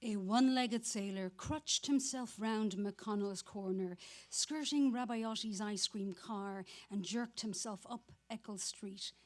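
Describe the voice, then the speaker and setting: A woman's voice: medium pitch, unhurried. A woman giving a dramatic reading of literary prose. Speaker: actor reading aloud.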